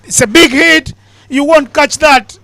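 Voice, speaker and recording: A man commentating on cricket live from a commentary box, bantering and joking between balls and getting excited on big hits. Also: extremely high pitch, unhurried, creaky, no echo. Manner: chatty, jokey banter with big excited calls.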